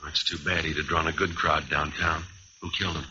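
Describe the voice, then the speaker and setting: Dull, faintly hissy old radio sound. a world-weary 1920s jazz musician with a low, very forceful voice. He talks in clipped, deadpan wisecracks like a noir narrator. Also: brisk, exaggerated voice. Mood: cool, dismissive. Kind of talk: 1950s radio noir acting, hard-boiled narration.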